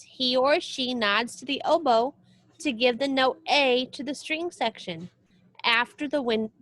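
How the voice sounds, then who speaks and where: Lady teacher reading a kids book out loud, high voice, takes her time, explains stuff simply. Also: no echo, poor audio. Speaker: music teacher reading a children's book aloud. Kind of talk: read-aloud lesson for children.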